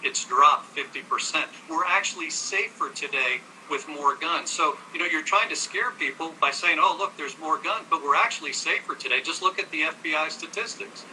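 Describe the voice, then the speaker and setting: A man's voice: on the high side, normal speed, few pauses, pushed and bright, a little hiss or hum, low-quality recording. An American man arguing assertively as an advocate in a televised interview, correcting his host. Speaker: gun-rights advocate, interview guest. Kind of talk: televised debate, arguing with statistics.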